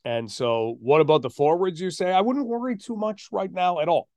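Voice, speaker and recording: A man's voice: high-pitched, moderate pace, darkish tone, dry recording. Emphatic male sports podcast host, dry sound. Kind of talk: casual sports talk and analysis.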